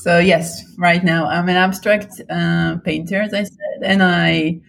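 Woman, interview guest, thoughtful, hesitant, many ums and pauses, casual conversation. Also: fairly low pitch, fairly even intonation, vocal fry, fairly bright tone. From Israel. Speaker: guest artist.

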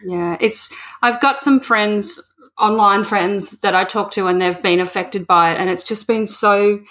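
A woman's voice: mid-range voice, a little slow, fairly bright tone. A woman from Australia, interviewing a guest in a chatty, rambling way. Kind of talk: casual interview.

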